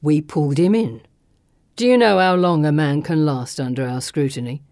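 A woman's voice: low voice, normal speed, darkish tone, no echo, clean studio sound, voice pushed down. A woman narrator voicing a menacing male villain who taunts and threatens with a drawling sneer, in an audiobook. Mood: cruel gloating. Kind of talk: audiobook character voice.

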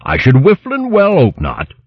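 A man's voice: a little high, huge pitch swings, measured pace, slightly rough, dull audio, voice pushed up, heavily acted. A man voicing a blustering, henpecked male hedgehog baron with rustic speech.

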